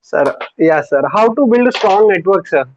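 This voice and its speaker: A man's voice: high-pitched, expressive, moderate pace, a little mellow, slight background noise. A man moderating an online session, reading out questions politely and calling the speaker "sir" again and again. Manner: polite and deferential, ends lines with "sir". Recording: dull, dry lo-fi call audio.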